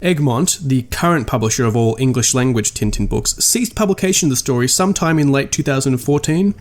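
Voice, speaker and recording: A man's voice: medium pitch, expressive, a little fast. A man narrating a scripted video essay as a podcast host, with dry, wry understatement and articulate, formal wording.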